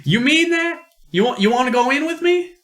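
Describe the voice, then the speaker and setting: A man's voice: very high-pitched, animated, a little fast, a bit bright, voice pushed up. A man voicing an eager, secretive young farm boy with a boastful, folksy manner in an amateur radio-play reading. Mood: eager, coaxing.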